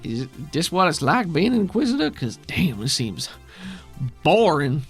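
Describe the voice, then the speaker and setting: A man's voice: on the high side, big pitch swings, normal speed, faint music behind, voice pushed up. Man voicing a bored, blunt sidekick.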